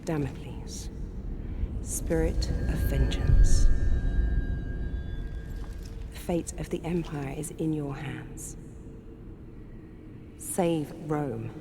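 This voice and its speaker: A woman playing a knowing female spirit guide in a video game, speaking in a solemn, deliberate, portentous way. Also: low voice, over music. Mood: solemn, urging. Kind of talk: dramatic video game cutscene monologue. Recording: clear background ambience noise.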